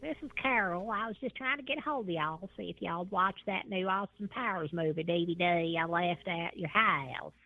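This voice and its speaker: A man's voice: high-pitched, normal speed, hardly any pauses, phone-quality sound, pitched above the performer's own voice. A man voicing a chatty woman with a drawling, folksy manner. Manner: drawling, folksy, says y'all and hun. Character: chatty Southern woman named Carol, drawling.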